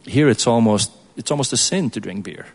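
A man from Belgium, speaking as a guest brewer in an informal question-and-answer session, in a casual, halting way. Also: medium pitch, animated.

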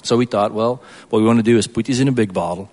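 A man from Belgium, speaking as a guest brewer in an informal question-and-answer session, in a casual, halting way. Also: on the low side, a little mellow.

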